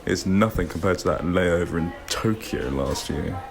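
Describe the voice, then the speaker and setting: A man acting a calm, everyday airline passenger who takes charge in an audio drama. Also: low voice, moderate pace, gravelly, over loud music. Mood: fond, weary.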